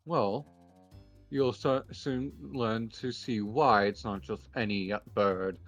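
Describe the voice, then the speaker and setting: A man's voice: on the low side, steady tone, takes it slowly, fairly bright tone. Composed, confident card duelist played by a man. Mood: assured. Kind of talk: improvised Minecraft anime roleplay. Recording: music behind the voice.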